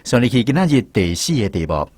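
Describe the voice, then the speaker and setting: Low-voiced, animated Taiwanese male radio host. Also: fast talker, dry recording. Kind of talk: presenting a scripted radio language quiz and credits. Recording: music playing behind the voice. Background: background music.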